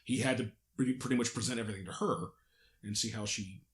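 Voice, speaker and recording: A man's voice: on the low side, quick pace. A man chatting as a podcast host and voice actor, agreeable and given to short affirmations. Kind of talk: behind-the-scenes podcast chat.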